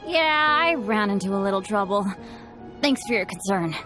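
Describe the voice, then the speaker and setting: A woman's voice: medium pitch, expressive, normal speed, close to an everyday voice. A woman voicing an earnest, friendly, self-blaming teenage boy adventurer in a video game. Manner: earnest, boyish. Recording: music behind the voice.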